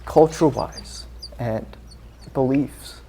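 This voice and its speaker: A man's voice: mid-range voice, slow, mellow, slight background noise. A man who grew up in Singapore, talking as an interview guest in an earnest, rambling way with lots of fillers. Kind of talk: casual interview chat.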